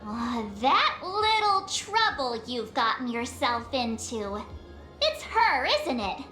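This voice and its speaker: Sly, high-voiced, unhurried enigmatic lady. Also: very animated, pushed and bright, roomy sound, faint music behind, heavily acted. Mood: teasing, knowing. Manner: slow, teasing, theatrical. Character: sly, elegant, enigmatic woman who speaks in riddles. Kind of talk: video game voice acting.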